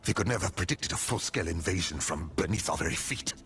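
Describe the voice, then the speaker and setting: An actor playing a battle-hardened, dutiful military commander in a video game, speaking in a gravelly, grave, formal and commanding way. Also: male voice, sits low, fast, a bit bright. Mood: grave, resolute. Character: battle-hardened male army commander, stern, dutiful, urgent.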